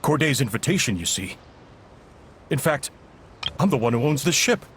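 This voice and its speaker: An actor playing a flustered, self-important male shipowner in a video game, halting and stiffly polite. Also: mid-range voice, sing-song, a bit bright, slight background noise. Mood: flustered, blustering. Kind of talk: anime video game voice acting.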